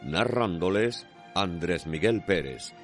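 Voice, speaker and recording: A man's voice: sits low, expressive, takes it slowly, faint music behind. A man with a Cuban accent narrating a scripted radio drama in a declamatory, poetic manner.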